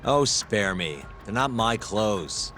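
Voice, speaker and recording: Calm game hero, deep voice, expressive intonation, dry and wry, music behind. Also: male voice, slow. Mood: exasperated. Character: cool, wry lawyer-detective hero, calm and principled. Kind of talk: video game cutscene acting.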